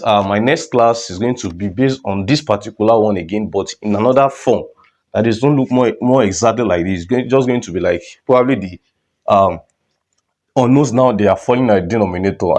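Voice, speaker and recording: A man's voice: on the low side, a little mellow. A man with a cold teaching a maths lesson, explaining step by step and putting questions to the students. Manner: repeats words and restarts phrases. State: has a cold.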